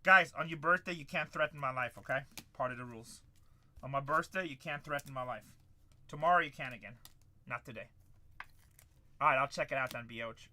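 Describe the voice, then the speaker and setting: A man's voice: mid-range voice, a little fast, pauses often, sharp tone. A man in his forties hosting a live card-break stream, calling out cards and bantering with chat. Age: 40s.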